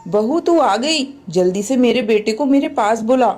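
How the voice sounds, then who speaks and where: A woman voicing a frail, loving old mother pigeon in a cartoon, gently telling the family what to do. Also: a little high, lively intonation, moderate pace, soft background music. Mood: weak, pleading.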